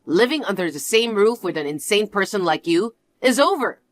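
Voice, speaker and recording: A woman's voice: medium pitch, animated, normal speed, no room sound, close to an everyday voice. Lady doing a nasty bossy mother-in-law, swoopy sing-song voice, super dry. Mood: venomous. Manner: haughty, taunting. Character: spiteful domineering mother-in-law, older woman. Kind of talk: one-voice drama reading.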